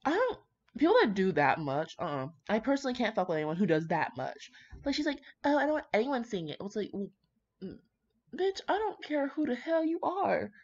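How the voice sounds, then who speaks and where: A woman's voice: on the high side, very animated, brisk, a little mellow. A woman reading aloud in a casual way, with brash, sweary, rambling commentary of her own. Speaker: fan fiction reader. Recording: slightly dull lo-fi home recording.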